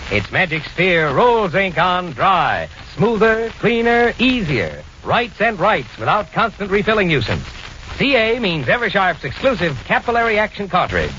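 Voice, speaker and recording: A man's voice: sits high, a little hiss or hum. A man reading sponsor messages as a 1940s radio announcer, with a polished, emphatic sales delivery. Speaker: commercial and network announcer. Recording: dull 1940s radio recording, loud music.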